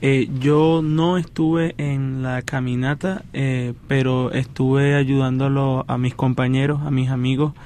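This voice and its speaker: A man's voice: medium pitch, little pitch movement, measured pace, hardly any pauses, a little mellow. A man from Venezuela, a student guest, speaking earnestly and steadily with some fillers.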